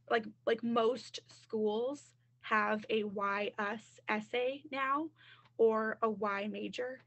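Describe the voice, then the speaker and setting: A woman's voice: fairly high pitch, vocal fry, a bit bright, muffled recording. A woman college admissions counselor answering questions on a webinar through a computer mic, chatty and full of fillers.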